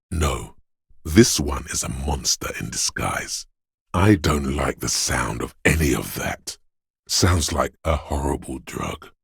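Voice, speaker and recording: A menacing inner voice of fear and aggression from a video game. It is extremely low and gravelly, with a slow, brooding, theatrical delivery that weighs on every phrase. It addresses the listener directly, like a dark narrator urging violence. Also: male voice, no room sound, studio quality, heavily acted. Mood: wary, ominous. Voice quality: very deep, gravelly, husky. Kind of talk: second-person dramatic video game narration.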